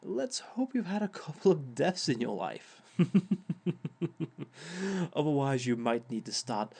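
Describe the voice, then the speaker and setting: A smug villain lecturing on love, as a man plays him, taking his time over each line and chuckling at his own advice. His teasing is mock-sympathetic, and he speaks at a middling pitch for a man. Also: very animated, measured pace, gravelly, a little mellow, heavily acted. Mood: wry, amused. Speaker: villain relationship expert. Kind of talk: audio roleplay monologue.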